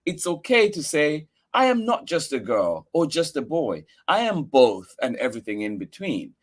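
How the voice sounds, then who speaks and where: A man reading a picture book aloud for children, expressive and earnest, with emphatic delivery. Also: on the high side, normal speed.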